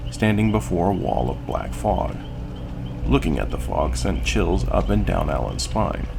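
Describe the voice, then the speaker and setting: A man reading a scripted story as a narrator, in a measured, solemn, dramatic way. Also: sits low, creaky, noisy background. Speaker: narrator and series host.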